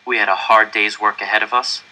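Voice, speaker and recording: A man's voice: lowish voice, little pitch movement, brisk, pushed and bright, rough recording. A man reading a novel aloud, telling it in first person with earnest, dramatic storytelling. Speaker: audiobook reader.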